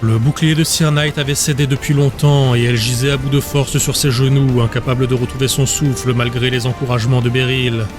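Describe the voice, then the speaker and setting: Even-toned male audiobook narrator. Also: mid-range voice, brisk, few pauses, music in the background. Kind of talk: scripted dramatic fantasy audiobook narration.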